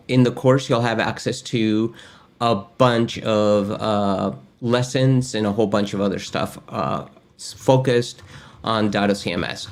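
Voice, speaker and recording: A middle-aged man from Argentina, hosting a casual webinar in an upbeat, chatty way with many fillers, recorded at his desk in a home studio. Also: on the low side. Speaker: host. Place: home studio with gaming chair.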